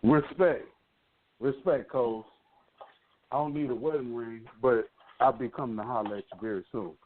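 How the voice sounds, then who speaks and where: A man's voice: mid-range voice, moderate pace, a little hiss or hum. A man hosting a casual call-in radio talk show over the phone, speaking in an informal, slangy way. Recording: dry, narrow phone line.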